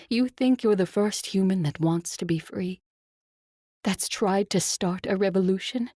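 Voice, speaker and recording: An actress playing a proud, seductive vampire ruler in an audio drama, with a cool, controlled, imperious manner. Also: female voice, medium pitch, takes it slowly, pauses often, mellow, no room sound, studio quality. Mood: scornful. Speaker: voice actor.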